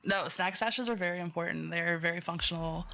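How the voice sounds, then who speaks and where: A woman guest streamer in a casual interview, soft-spoken and laid-back, who laughs easily. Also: lowish voice, brisk, vocal fry, rough recording. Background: faint background music.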